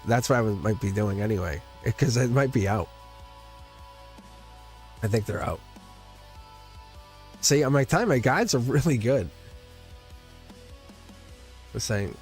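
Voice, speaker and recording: A man's voice: mid-range voice, a little mellow. A man giving a live-streamed tarot reading, chatty and teasing, laughing often as he talks to his viewers. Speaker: tarot reader.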